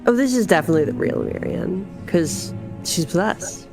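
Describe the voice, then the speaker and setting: A woman's voice: fairly low pitch, lively intonation, moderate pace. A woman chatting casually as a player in a tabletop role-playing game. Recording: music playing behind the voice. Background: music.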